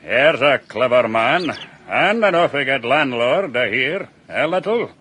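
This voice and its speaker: A prying Scottish sheep dealer probes with ingratiating questions in a radio play. His pitch swings widely. A faint hum lies behind him. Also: expressive, a little slow, pushed and bright. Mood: probing. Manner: probing, ingratiating. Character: Scottish sheep dealer Harkis, nosy and smooth. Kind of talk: radio drama acting.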